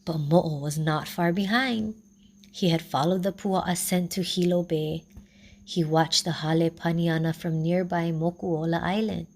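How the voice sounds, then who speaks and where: A woman storyteller reads a children's tale aloud. She takes her time, keeping an unhurried, gentle rhythm. Her voice sits a little low for a woman. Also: slight background noise. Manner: slow, gentle, even reading.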